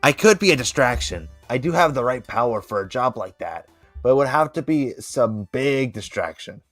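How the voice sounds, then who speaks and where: A man's voice: medium pitch, lively intonation, moderate pace, fairly bright tone, faint music behind. A man voicing a practical schemer planning a rescue in an amateur cartoon comic dub. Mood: businesslike.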